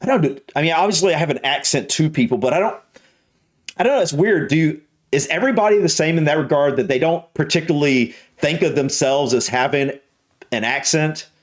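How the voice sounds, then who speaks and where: A man's voice: a little high, fairly quick. A man with a Southern US accent hosting a reaction video, chatting casually and hesitantly, often restarting and repeating his words. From United States.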